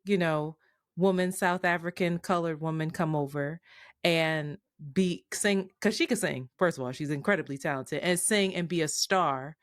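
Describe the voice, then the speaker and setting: A woman's voice: sits low, normal speed, no room sound, studio quality. A woman talking through her thoughts in a candid, casual podcast chat, with some casual swearing.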